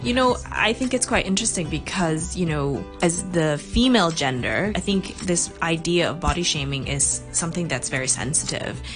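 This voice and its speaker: Lady host, lowish voice, lots of "you know"s, music behind. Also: expressive, normal speed, few pauses. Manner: warm questioning, many "you know" fillers. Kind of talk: interview hosting.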